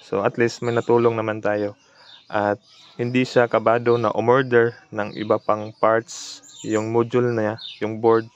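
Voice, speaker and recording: A man's voice: fairly low pitch, little pitch movement, measured pace, lo-fi sound. A man narrating a casual repair vlog in a plainspoken way, mixing in English terms. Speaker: electronics repair technician and video host.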